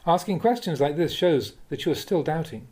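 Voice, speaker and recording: Mellow, expressive man reading Buddhist teachings. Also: on the high side, a little fast. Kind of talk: audiobook-style reading of a dharma talk with questions and answers.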